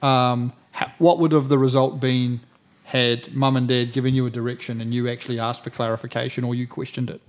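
Man, podcast host, mid-pitched voice, reflective, thinks out loud with restarts. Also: flat delivery, brisk. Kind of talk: casual podcast chat.

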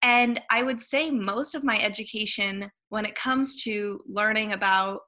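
A woman's voice: medium pitch, a little slow, pushed and bright. A woman in her twenties with an American accent, giving conversational, reflective answers as a podcast guest. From Hawaii, United States. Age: 20s. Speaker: podcast guest, political science graduate.

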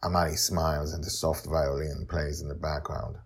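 A man hosting a podcast as its author, speaking in a halting, candid and emphatic way with a husky voice. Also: very deep voice, little pitch movement. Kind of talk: casual spoken introduction, then story narration.

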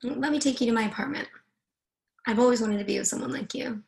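A woman's voice: fairly high pitch, fast, gravelly, a little mellow. A woman playing a seductive, controlling married woman in a screenplay read, teasing and commanding by turns. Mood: flirtatious, teasing.

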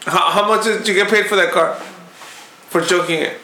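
A man's voice: high voice, fairly even intonation, normal speed, sharp tone. A man in his forties chatting casually with friends, swearing a lot and teasing with laughs. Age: 40s. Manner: profane, teasing, laughs often. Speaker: podcast co-host. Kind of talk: casual banter among friends. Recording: echoey room with faint noise.

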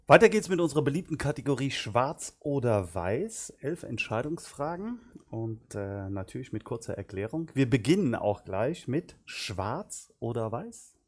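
A man hosting a podcast, putting quick quiz-style questions to his guest in a casual interview. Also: mid-range voice, big pitch swings. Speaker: podcast host.

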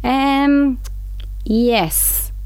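A woman's voice: high voice, steady tone, extremely slow, darkish tone, smooth, dry recording, rough recording. A young woman co-hosting a podcast, chatting casually and laughing easily. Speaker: podcast co-host. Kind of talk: casual chat.

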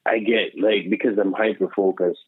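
A deep-voiced man talks in an earnest, self-assured way, with lots of fillers. He comes through a tinny, phone-like line. The sound is dry, with no room echo. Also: steady tone, moderate pace, breathy edge. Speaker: guest, social worker. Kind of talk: casual podcast chat.

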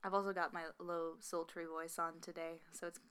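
A woman's voice: on the low side, brisk, smooth. Chatty young woman co-hosting a podcast. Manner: chatty, animated, many fillers and laughs. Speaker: podcast co-host. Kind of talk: casual chat.

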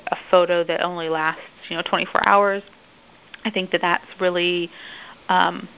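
A woman who writes for a museum, answering interview questions thoughtfully with many filler pauses. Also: fairly low pitch, gravelly, highs cut off.

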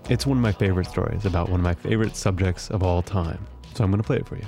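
Man, very low voice, podcast host, scripted intimate narration, measured, music underneath. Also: gravelly, warm tone.

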